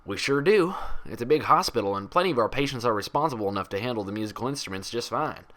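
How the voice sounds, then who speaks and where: A man's voice: mid-range voice, expressive, fast, a bit bright, natural voice. A man voicing a frank, proud, friendly female pony doctor with a folksy way of talking, in a story reading. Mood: frank, explaining.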